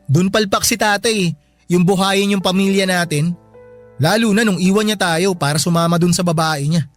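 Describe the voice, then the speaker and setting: An actor with a Filipino accent playing a grateful young graduate son in a radio drama, earnest and respectful. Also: male voice, high voice, natural voice. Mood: proud, fond. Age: young adult.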